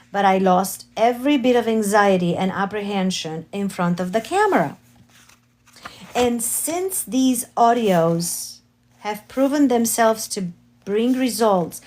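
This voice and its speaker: Slow-reading woman with a Romanian accent. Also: mid-range voice, a little mellow. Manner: slow, deliberate reading with occasional self-corrections. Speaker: author reading her book. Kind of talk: slow reading of a spiritual memoir.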